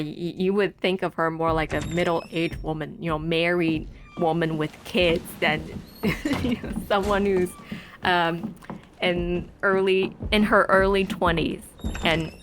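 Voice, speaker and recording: Woman, 20s, unhurried, lowish voice, rambling gameplay chat. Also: a bit bright, slight background noise. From Taiwan. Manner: rambling, chatty storytelling with many pauses. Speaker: let's play gamer. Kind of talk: casual chat while playing a game.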